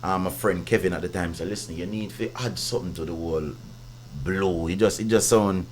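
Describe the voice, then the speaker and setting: A man with a Jamaican accent and a big, deep voice, talking as a guest in a casual interview, speaking in patois and often checking that he is understood. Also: fairly quick, a crowd in the background. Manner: patois-heavy, repeats "you understand". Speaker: guest artist.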